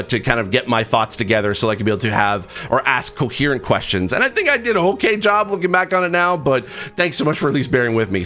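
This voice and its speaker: A man's voice: mid-range voice, sharp tone. A man hosting a gaming video, talking casually to viewers in an earnest, rambling, heartfelt way. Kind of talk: casual talk to camera.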